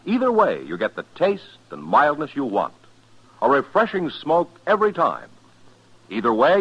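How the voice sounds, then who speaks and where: Swooping, animated old-time radio announcer man. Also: mid-range voice, slightly rough. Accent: American. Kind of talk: 1950s radio commercial pitch. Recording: muffled vintage radio recording.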